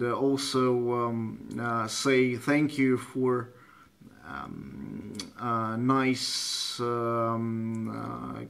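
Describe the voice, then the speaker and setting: A man with a Russian accent chatting as a ham radio operator, hesitant and searching for words. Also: mid-range voice. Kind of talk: casual radio contact chat. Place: indoors at a computer running radio software.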